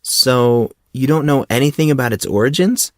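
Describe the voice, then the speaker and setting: A man's voice: mid-range voice, moderate pace, no echo, clean studio sound, pitched above the performer's own voice, lightly acted. A man voicing a curious, hesitant and wry fourteen-year-old boy in an audiobook. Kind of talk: audiobook character dialogue.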